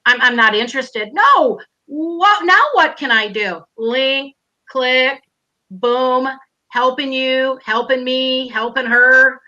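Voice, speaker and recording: A high-voiced woman in her fifties with a Minnesota accent, bright and forceful as she talks straight to her viewers. She is blunt and excitable, hosting a casual online chat. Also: takes it slowly, lo-fi sound. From Minnesota, USA. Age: 50s. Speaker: host.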